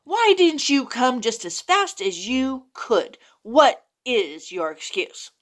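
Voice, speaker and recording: A woman's voice: on the high side, big pitch swings. A woman voicing a stern female schoolteacher who scolds a late pupil. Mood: scolding. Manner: scolding, brisk questions.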